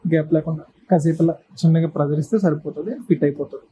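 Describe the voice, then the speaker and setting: A man's voice: on the high side, fairly even intonation, very fast, warm tone, slightly rough, poor audio. A man giving a casual hands-on tutorial, explaining step by step in short instructional phrases. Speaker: presenter of a how-to video.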